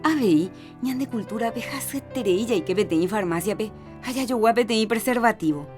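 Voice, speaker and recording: A woman hosting a health radio program, explaining calmly and playing a counselor in its drama. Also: on the high side, moderate pace. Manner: calm, explanatory. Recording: radio program with background music.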